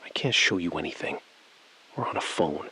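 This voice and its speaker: An actor playing a calm, evasive chief financial officer in an audio thriller, speaking in a measured, polite, guarded way. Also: male voice, low voice, slightly rough, full character voice, rough recording.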